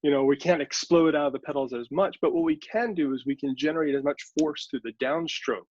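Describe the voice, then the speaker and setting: A man's voice: fairly high pitch, brisk, a little mellow, no room sound. A man answering interview questions as an expert, explaining things patiently, step by step with examples. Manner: teacherly, walks through examples step by step. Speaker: sports scientist guest. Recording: dry, slightly dull audio.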